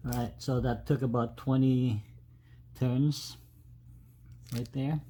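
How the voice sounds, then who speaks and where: A man giving a casual, unscripted step-by-step tutorial as a DIY host, with halting delivery. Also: mid-range voice, steady tone, mellow. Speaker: host of a DIY truck modification video. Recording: clear background noise, ambient sound.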